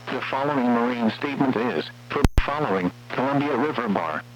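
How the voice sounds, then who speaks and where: A man's voice: medium pitch, fairly quick, background noise. Muffled synthetic male weather radio announcer. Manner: formal, flat synthetic text-to-speech delivery. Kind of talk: scripted weather warning bulletin.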